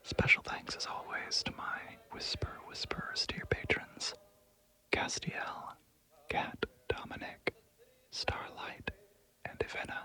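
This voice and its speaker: A man's voice: takes it slowly, bright, forceful tone, rough recording. A man reading a scripted outro as the creator of an audio roleplay, thanking listeners in a whisper.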